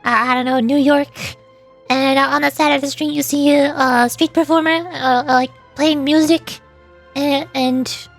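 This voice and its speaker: A woman's voice: high-pitched, steady tone, a little slow, soft background music. A woman streaming casually, chatting in a rambling, giggly way that often interrupts itself. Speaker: streamer and voice actor. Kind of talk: casual livestream chat.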